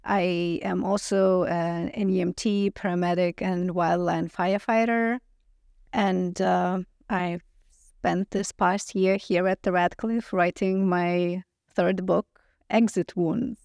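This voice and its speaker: Middle-aged woman from lithuania, gravelly voice, earnest explaining, dry close sound. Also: on the low side, fairly even intonation, slow, darkish tone, dry recording. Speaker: guest, anthropology professor. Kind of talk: interview answers.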